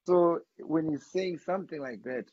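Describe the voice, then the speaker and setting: A man from Zimbabwe, a guest artist talking casually in an interview, chatty and quick to repeat himself. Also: fairly high pitch, darkish tone, slight background noise. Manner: chatty, repeats words, says bro a lot. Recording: dull lo-fi sound.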